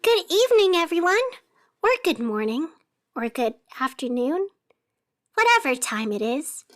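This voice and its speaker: A woman playing a perky, whimsical radio host of a strange fictional city, narrating theatrically in a scripted podcast. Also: high voice. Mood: cheerful. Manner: whimsical, theatrical, alliterative storytelling. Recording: music under the voice. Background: music.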